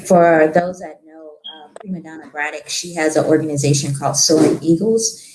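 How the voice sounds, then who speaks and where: A woman with a slightly low voice shares an event announcement, informative but hesitant and full of ums. She sounds like she is in an echoey room with a faint hiss behind her. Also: moderate pace. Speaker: participant. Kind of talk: community announcement.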